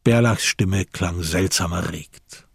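A man narrating a radio crime drama in a measured, sober, literary manner, with a husky voice. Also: low-pitched, no echo, clean studio sound, heavily acted.

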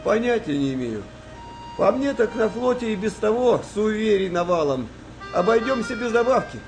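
A man playing a skeptical, well-spoken wartime navy sailor telling tales with measured drama in a Russian radio play. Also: sits high, big pitch swings, poor audio. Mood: dismissive. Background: music behind the voice.

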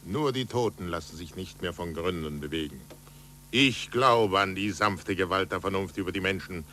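An actor playing a passionate, stubborn astronomer in a fervent, declamatory 1940s German radio play style. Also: male voice, sits low, normal speed, pushed and bright. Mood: fervent, convinced. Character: passionate, stubborn male astronomer championing proof and reason. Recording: noisy, humming 1940s radio recording.

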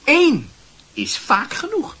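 A mocking, pompous male schoolmate in a radio play. The husky voice swoops extravagantly up and down in a slow drawl, teasing theatrically. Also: very high voice, huge pitch swings, bright, forceful tone, full character voice. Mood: wry, teasing. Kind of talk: radio drama dialogue.

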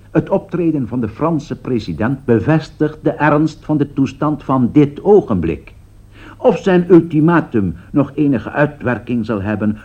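An actor playing a solemn political commentator in a radio play, delivering formal, rhetorical commentary with foreboding gravity. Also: male voice, medium pitch. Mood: grave, emphatic. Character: grave male radio political commentator foretelling catastrophe. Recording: dull, lo-fi radio play recording.

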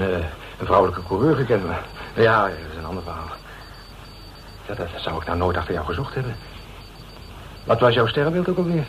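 An actor with a Netherlands Dutch accent playing a suspicious, touchy man in a radio drama, hesitant, colloquial and blustering. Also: low voice. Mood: mocking, surprised. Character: suspicious, touchy man receiving late-night visitors. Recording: muffled, noisy radio recording.

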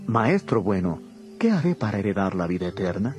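A man's voice: lowish voice, big pitch swings, fairly quick, music in the background. An actor playing an earnest, respectful man entreating his teacher in a dramatized audio Bible. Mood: eager pleading.

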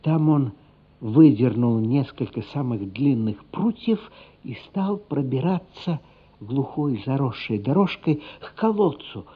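A man narrating a literary radio play in a measured, suspenseful, dramatic storytelling manner. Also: medium pitch, animated, slightly rough. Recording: dull, band-limited radio play recording. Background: music.

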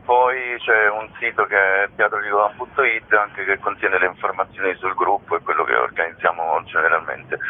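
A man explaining things as a guest in a radio interview, halting as he searches for words. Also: lowish voice. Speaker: theatre company guest. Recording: narrow, tinny phone line.

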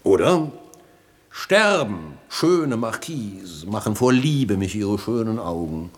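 An actor playing a pedantic, self-important philosophy tutor in a radio comedy, lecturing and declaiming in a measured, flattering way. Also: male voice, lowish voice, husky, heavily acted. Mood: declaiming, obliging. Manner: didactic, measured, ornately declaiming. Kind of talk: 1960s German radio comedy acting.

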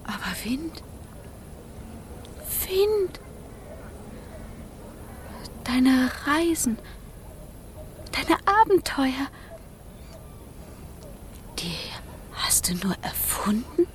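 Radio play role: wild young girl fire spirit, high, childlike, slow expressive delivery, urgent and devoted. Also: a little hiss or hum, full character voice. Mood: disappointed.

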